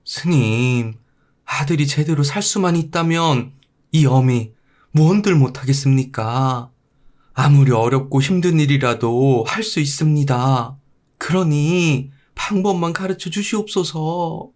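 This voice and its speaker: A man voicing a devoted, poor mother in an old Korean folktale, speaking in a slow, drawn-out, plaintive way. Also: a little high, darkish tone, voice pushed up, close to an everyday voice. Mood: anxious pleading.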